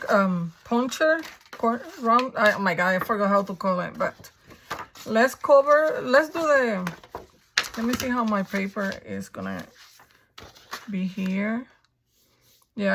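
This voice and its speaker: A woman with a raspy, gravelly voice, worn down by a coming flu, walks through a craft project in a chatty, think-aloud way. Her pitch sits in a middle range, with a faint hiss behind her. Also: lively intonation, takes it slowly, fairly bright tone. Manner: chatty, thinking aloud while working, frequent ums. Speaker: craft tutorial host. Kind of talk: casual step-by-step crafting tutorial.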